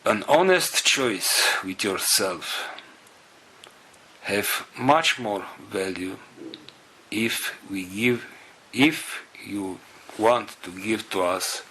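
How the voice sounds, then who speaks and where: A man with a non-native English accent giving a spiritual talk to followers in a solemn, halting manner, in short phrases. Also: low-pitched, sharp tone, husky, low-quality recording. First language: not English. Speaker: spiritual group leader.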